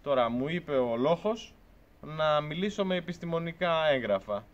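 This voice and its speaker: A man's voice: on the high side, animated. Earnest man talking outdoors, dull audio. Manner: earnest, argumentative, gestures while talking. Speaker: beekeeping channel host. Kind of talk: casual talk to camera. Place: outdoors by a pickup truck at a farm shed.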